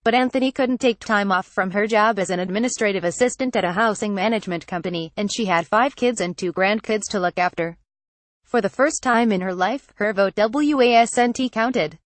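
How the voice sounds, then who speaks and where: A woman reading a long magazine news feature aloud in a measured, even narration. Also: mid-range voice, no room sound.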